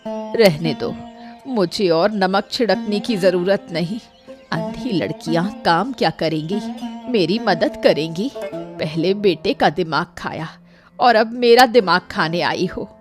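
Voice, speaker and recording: Woman as a slow-talking, grumbling elderly mother. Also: lowish voice. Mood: bitter, complaining. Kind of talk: Hindi cartoon moral-story dialogue. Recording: music bed under the voice.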